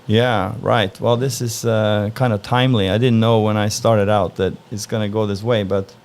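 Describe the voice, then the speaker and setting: Interview guest, male, from Norway, gravelly low voice, easygoing and thoughtful. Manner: relaxed, thoughtful, frequent "uh" pauses. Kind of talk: casual interview answers.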